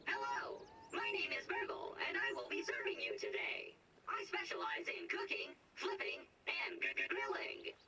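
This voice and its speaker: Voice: moderate pace, sharp tone, distant mic, slight background noise. An actor voicing a polite, fussy burger-flipping robot, with a processed synthetic voice, formal wording and glitchy stutters, in a game audio log. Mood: chipper, dutiful. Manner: formal, literal, stuttering on words. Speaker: robot assistant.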